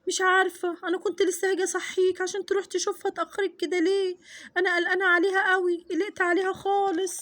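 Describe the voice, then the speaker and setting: A woman's voice: very high-pitched, level tone, racing, fairly bright tone. A woman voicing a loving, anxious mother with an Egyptian accent, fond and fretful and often repeating herself. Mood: worried. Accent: Egyptian Arabic. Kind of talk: homemade family skit.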